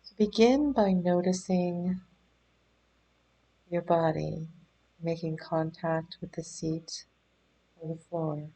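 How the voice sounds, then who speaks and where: A woman leading a guided meditation in a gentle, soothing, unhurried manner, leaving pauses between phrases. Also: fairly low pitch, fairly even intonation, low-quality recording. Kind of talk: guided body scan meditation.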